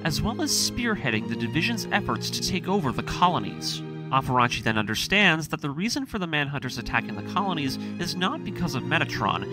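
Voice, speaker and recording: A man with a slightly high voice narrates over clear background music. His delivery is lively and varied in pitch, earnest and emphatic, like scripted commentary on a story. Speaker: narrator of an anime story breakdown series.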